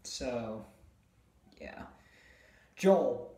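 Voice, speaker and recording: A young man with a sore, hoarse throat chats casually to a phone camera in a hotel room, hesitant and full of fillers. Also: mid-range voice, big pitch swings, warm tone. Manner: hesitant, many fillers and restarts, slow. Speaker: video host. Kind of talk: casual vlog chat. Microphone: phone camera at arm's length. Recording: echoey small room.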